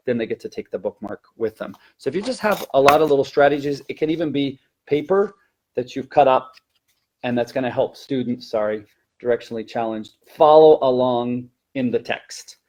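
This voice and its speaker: A man's voice: medium pitch, moderate pace, darkish tone. A man who teaches elementary Spanish, giving an explanatory teacher-training talk in a hesitant, self-correcting way.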